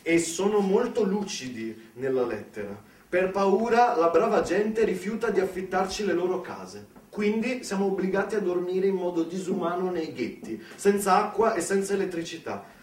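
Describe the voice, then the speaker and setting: A man performing a dramatic theatrical storytelling monologue, emphatic, with deliberate pauses and repetitions. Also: high-pitched. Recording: roomy, distant mic, faint background noise.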